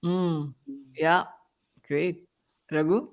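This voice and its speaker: A podcast host running a rapid-fire question round and affirming each answer in an encouraging way. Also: mid-range voice, fairly even intonation, muffled recording.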